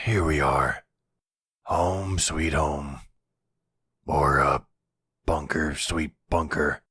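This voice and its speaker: A man performs a gruff older mafia boss in an intimate roleplay drama. The voice is extremely low and very husky, close and dry. He speaks slowly and hesitantly, weary but tender. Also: pushed and bright, dry recording, full character voice. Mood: reassuring, tired. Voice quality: gravelly, husky. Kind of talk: boyfriend roleplay audio drama.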